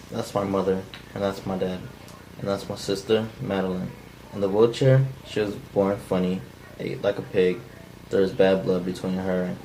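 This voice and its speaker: A man's voice: low-pitched, a little hiss or hum, rough recording. A man reading the part of a menacing, bitter drifter in an unpolished script table read. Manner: flat, unpolished amateur line reading. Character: menacing, bitter, down-on-his-luck male drifter intruder.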